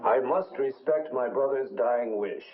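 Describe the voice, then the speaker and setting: An actor playing a dignified, solemn Latin American president in exile, speaking formally in a 1950s film drama. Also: male voice, mid-range voice, measured pace, a little hiss or hum, close to an everyday voice. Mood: solemn, resigned. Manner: formal, grave, eloquent. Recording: muffled old film soundtrack.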